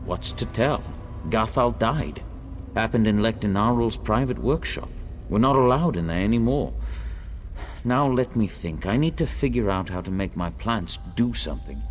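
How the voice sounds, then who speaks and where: A man's voice: lowish voice, expressive, normal speed, soft background music, lightly acted. A man voicing a hapless, peevish apprentice gardener in fantasy video game dialogue, given to muttered asides. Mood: dismissive.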